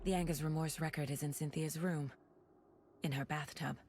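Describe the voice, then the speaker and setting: An actress playing a determined woman investigator with a seer's intuition in a video game, speaking in a measured, intent way that is at times hushed. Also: deep voice, steady tone, a little hiss or hum, natural voice. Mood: intent, investigative. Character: determined woman seer investigator, probing and reflective. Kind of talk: video game acting, introspective monologue.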